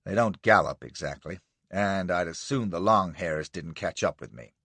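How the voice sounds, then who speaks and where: A man's voice: low voice, moderate pace, fairly bright tone, no echo, pitched below the performer's own voice. A man doing a staccato young Yankee commander. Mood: brusque. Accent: American. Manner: staccato, snapping. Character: wounded young American commander, brusque.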